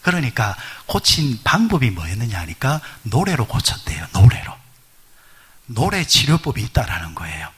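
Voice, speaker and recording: A man's voice: mid-range voice, huge pitch swings, moderate pace, fairly bright tone, husky. A middle-aged man preaching a sermon at a midweek prayer service. He talks conversationally, asks the congregation questions, and uses many fillers. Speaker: pastor.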